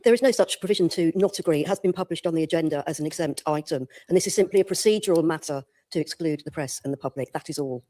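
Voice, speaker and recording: A woman's voice: low-pitched, fast, few pauses, dark tone. A woman acting as a council officer, giving a firm, matter-of-fact procedural statement.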